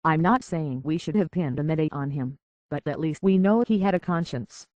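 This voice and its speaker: A man's voice: fairly high pitch, moderate pace, a little mellow, no room sound, close to an everyday voice. A man playing a world-weary, decent android detective in a video game, speaking in a wry, plainspoken, reflective way on slightly dull audio. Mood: somber, sincere. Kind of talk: video game character dialogue.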